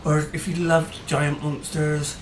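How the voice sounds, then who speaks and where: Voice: medium pitch, level tone, measured pace, a little hiss or hum. Rambling casual gamer in a roomy echo. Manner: chatty and rambling, with hesitant fillers and restarts. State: voice tiring. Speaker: gaming channel host. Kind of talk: casual gameplay commentary. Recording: roomy echo, faint music behind.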